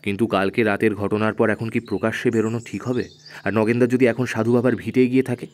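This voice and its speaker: Actor as a troubled young man, lowish voice, horror drama. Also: little pitch movement, fast, lightly acted. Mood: doubtful. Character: doubtful, troubled young man investigating a village horror mystery. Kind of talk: Bengali horror audio drama acting. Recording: clear music bed behind voice.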